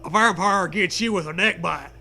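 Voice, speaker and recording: A man trading casual comedic banter and reading aloud, joking in a deadpan, profane way. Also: high-pitched, moderate pace, soft background music. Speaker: co-host of a comedy reading show.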